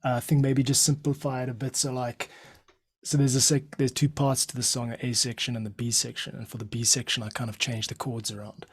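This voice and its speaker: Husky-voiced podcast host coaching guest. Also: male voice, mid-range voice, little pitch movement, a little fast, no echo, studio quality. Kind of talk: coaching the guest during recording.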